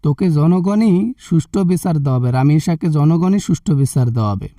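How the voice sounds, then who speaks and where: A man's voice: on the high side, animated, a little fast, warm tone, dry recording, clean studio sound, far from the mic. A man with a Bangladeshi accent playing a stern religious party leader in a comic phone skit, scolding and preaching threats. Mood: threatening.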